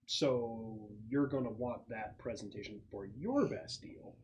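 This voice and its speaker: A man narrating a tabletop roleplaying game as game master, explaining things in a halting, thoughtful way with pauses. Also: mid-range voice, darkish tone. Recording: clearly noisy room recording with clicks.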